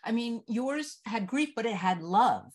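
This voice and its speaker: A woman's voice: fairly high pitch, expressive, measured pace. A middle-aged woman talking casually as a panel host, recorded indoors over a video call. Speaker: festival host and panel moderator. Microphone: video call mic.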